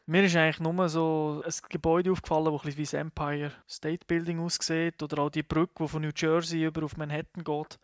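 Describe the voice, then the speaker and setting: A man's voice: on the high side, little pitch movement, a little fast, hardly any pauses, no echo, clean studio sound. A man, co-hosting a casual video game review chat and talking enthusiastically in loose, rambling phrases.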